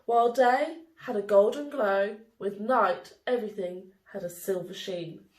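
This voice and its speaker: In a roomy, echoing space, a woman reads a children's story aloud. She takes her time, with an animated, deliberate storytelling delivery and a mid-range voice. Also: medium pitch. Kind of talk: expressive children's storybook reading. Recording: roomy, echoey indoor sound.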